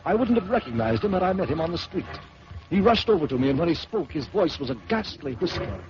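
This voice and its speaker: A man hosting an old radio storytelling show, reading a ghost story aloud in a measured, theatrical way. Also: on the high side, very animated. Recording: muffled old radio broadcast with hiss.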